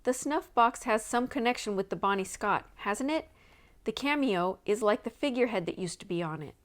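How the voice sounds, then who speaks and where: A woman's voice: on the high side, animated, moderate pace, close to an everyday voice. A woman voicing a bold young female detective in a storybook, questioning people insistently. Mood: probing. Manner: urgent, probing, persistent questioning. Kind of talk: storybook read-aloud character voice.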